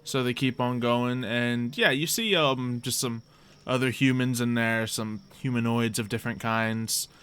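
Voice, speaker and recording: A man narrating a tabletop roleplay as game master in a halting, conversational way. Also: mid-range voice, steady tone, fairly bright tone, a little hiss or hum.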